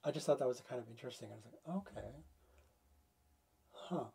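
A man's voice: mid-range voice, expressive, a little fast, creaky, warm tone, husky. A man in his forties talking casually to camera about astrology, thinking aloud and restarting as he works out sums. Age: 40s. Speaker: astrology video host. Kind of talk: casual explanatory talk to camera. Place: small room with foam panels, indoors.

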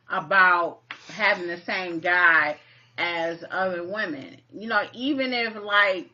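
A woman's voice: on the low side, bright, forceful tone. A woman in her thirties co-hosting a casual live chat from a home kitchen, talking frankly and plainly. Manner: frank, matter-of-fact, uses "you know". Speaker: co-host. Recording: muffled lo-fi webcam audio.

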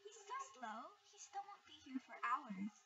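A woman's voice: sits high, very animated, unhurried, bright, forceful tone, exaggerated voice. A woman voicing a boastful, impatient male hare in a storybook, with a dismissive, smug manner. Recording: distant, muffled home recording with faint noise.

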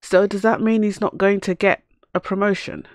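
Hoarse woman gamer, casual running commentary, chats to her game characters, dry close sound. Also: mid-range voice, steady tone, moderate pace, no room sound. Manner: chatty, talks to the game characters. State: hoarse from a cough. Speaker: let's play host.

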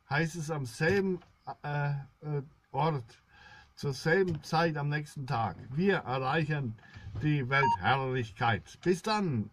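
A middle-aged man rambling to his viewers in a solemn, halting monologue, recorded indoors at about arm's length. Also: a little high, no echo. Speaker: blogger, solo video host.

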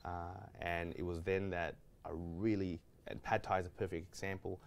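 A man, a guest chef telling his story in a studio kitchen, with lots of fillers and restarts. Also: deep voice. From Australia. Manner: rambling, many restarts and fillers. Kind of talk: casual studio interview.

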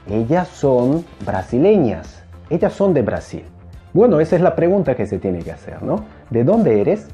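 Slow, very mellow, animated young male teacher. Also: medium pitch, highs cut off. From Peru. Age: young adult. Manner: animated, emphatic, repeats phrases slowly. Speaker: Spanish teacher. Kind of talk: language lesson to camera. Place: small indoor room, plain wall. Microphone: clip-on lapel mic.

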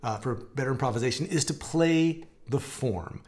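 A man in his forties teaching a guitar lesson in a relaxed, conversational way, his voice a little gravelly from a dry throat. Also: medium pitch, normal speed. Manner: relaxed, conversational, explaining with hand gestures and demonstrating. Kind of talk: live-streamed guitar lesson. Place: home music room with guitars on the walls.

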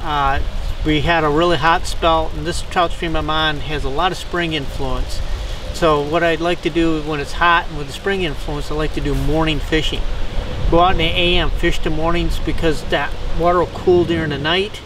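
A man's voice: fairly high pitch, moderate pace. An older man, a fishing guide, gives a casual, rambling update to a camera outdoors beside a stream, with lots of fillers. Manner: relaxed, rambling, with frequent fillers. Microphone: camera on tripod a few feet away. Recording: distant mic, outdoor noise.

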